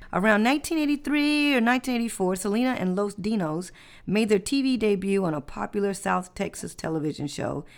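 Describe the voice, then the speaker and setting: A woman hosting a relaxed podcast chat at a medium pitch. She reads out facts in an easygoing, conversational way, and her voice has a gravelly, creaky texture. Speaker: podcast host. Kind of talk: casual chat.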